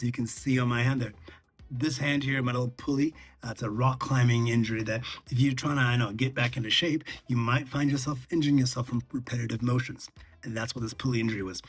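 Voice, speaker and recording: A man with a husky, slightly rough voice talks casually, as a vlogger sharing practical advice. He is conversational and often checks in with the listener. His pitch sits in the middle range, and music plays behind him. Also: steady tone, quick pace. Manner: conversational, checks in with "okay" and "all right". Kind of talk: casual chat, recounting lessons learned.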